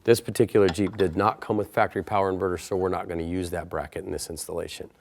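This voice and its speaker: A man's voice: deep voice, little pitch movement, fast, vocal fry, darkish tone, slight background noise. A man presenting an installation tutorial, talking through each step in a plain, methodical, procedural way. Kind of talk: step-by-step instructional narration.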